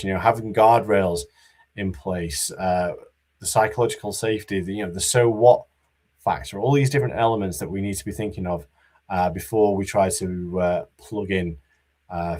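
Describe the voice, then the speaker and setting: A man giving a conference talk about business readiness, in a monotone voice with many fillers and restarts. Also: on the low side. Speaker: conference speaker.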